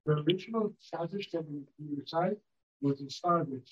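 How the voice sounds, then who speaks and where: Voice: medium pitch, normal speed, husky. A member of a study group making a hesitant comment, often repeating words. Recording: faint and distant, muffled.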